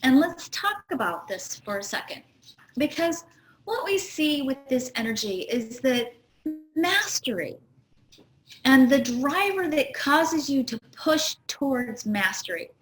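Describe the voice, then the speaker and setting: Middle-aged woman host, high voice, earnest teacherly delivery, roomy echo. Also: sing-song, unhurried. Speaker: show host. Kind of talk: spiritual astrology talk.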